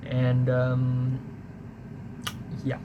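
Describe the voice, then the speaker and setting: A man's voice: mid-range voice, completely flat delivery, very slow, narrow, tinny audio. A young man from Hong Kong with a Hong Kong English accent, a native Cantonese speaker, telling a casual, rambling story that he keeps correcting and that he spices with swearing. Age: young adult. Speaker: vlogger. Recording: noticeable background noise.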